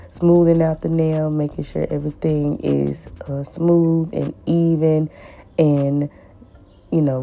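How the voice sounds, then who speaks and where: Hoarse, raspy low-voiced woman. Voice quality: raspy and deep. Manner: repeats words for emphasis, warm pleading asides. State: hoarse from the flu. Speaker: nail tech vlogger. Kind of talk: casual voice-over tutorial.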